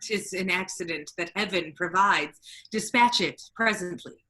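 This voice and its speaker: Woman playing a commanding friar, bright forceful voice. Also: on the low side, unhurried. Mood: decisive. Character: scheming duke disguised as a holy friar, male, authoritative. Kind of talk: staged reading of Shakespearean verse.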